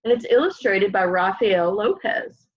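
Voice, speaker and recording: A woman's voice: mid-range voice, expressive, normal speed. A woman reads a children's book aloud expressively at home, speaking simply as if to young children. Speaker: storybook reader. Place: room at home, by a window. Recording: slightly dull, lo-fi home webcam sound.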